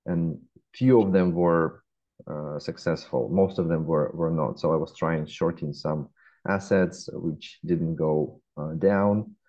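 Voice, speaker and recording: Deep-voiced Russian man, dull call audio. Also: steady tone, dark tone. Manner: measured, frequent hesitations. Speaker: guest, fintech founder. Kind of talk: interview answers. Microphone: laptop webcam.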